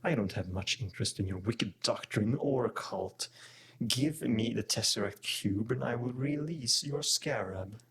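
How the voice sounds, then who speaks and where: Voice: mid-range voice, unhurried, vocal fry, fairly bright tone, slight background noise. An actor playing an arrogant, ancient robotic alien overlord in a sci-fi audio drama, condescending and menacing. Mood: mocking.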